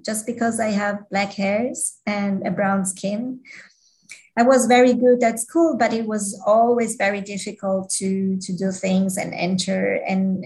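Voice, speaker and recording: A woman's voice: medium pitch, darkish tone, poor audio. A woman from Switzerland, an interview guest, speaking with frequent restarts and non-native grammar. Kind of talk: casual interview talk.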